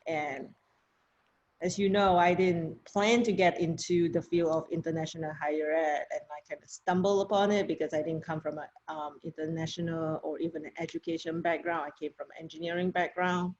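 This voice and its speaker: A woman from Malaysia, a university program director, talking in a conversational, measured way in a podcast interview. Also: low voice, steady tone, darkish tone, lo-fi sound.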